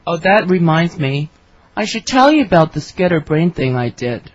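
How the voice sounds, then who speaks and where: A woman reading her part in a scripted English conversation for learners, with clear, careful delivery. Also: fairly low pitch, big pitch swings, normal speed, no room sound. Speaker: dialogue reader for English learners. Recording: dry, slightly dull studio recording.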